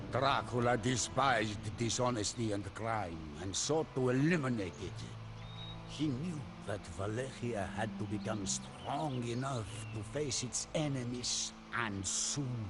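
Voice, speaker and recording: Slow, dramatic male storyteller over music. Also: medium pitch. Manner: measured, solemn, theatrical. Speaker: narrator. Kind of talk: dramatic scripted story narration. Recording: music behind the voice. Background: prominent music.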